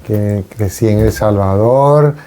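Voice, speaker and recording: A man in his forties from Venezuela, a priest, talking on a radio show in an unhurried, reflective way with frequent hesitations. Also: on the low side, warm tone, clear, resonant tone. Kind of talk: casual conversation on a radio talk show.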